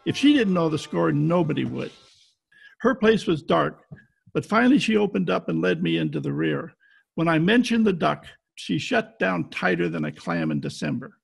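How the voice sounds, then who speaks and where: Gravelly, husky hardboiled detective, acted by a man. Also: fairly high pitch, lively intonation, moderate pace, faint music behind, heavily acted. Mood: wry narrating. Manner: clipped slangy tough talk. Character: tough, wisecracking hardboiled private detective, adult man. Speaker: amateur radio play actor. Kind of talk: hardboiled 1940s radio detective acting. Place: at home, recorded over video call.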